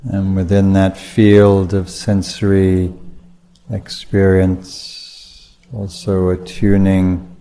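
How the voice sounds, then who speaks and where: A man's low, soft-edged voice guides a meditation. He speaks very slowly, in measured phrases separated by long pauses. The tone is dark and relaxed, that of a teacher leading practice.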